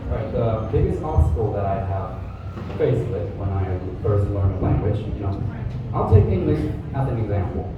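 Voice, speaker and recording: A man's voice: on the low side, big pitch swings, moderate pace. Teenage boy, tinny echoey sound. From Vietnam. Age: teens. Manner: candid, with fillers like "you know". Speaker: contestant. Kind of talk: unscripted contest answers. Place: large echoey school hall. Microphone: far from the microphone. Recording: tinny, echoey hall from far off, hiss.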